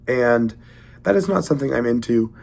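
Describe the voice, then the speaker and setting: Man, gravelly, slightly stuffy, getting over a cold, casual book review, hesitant, rambling. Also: mid-range voice, expressive, normal speed, a little mellow. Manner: hesitant, rambling, searching for words.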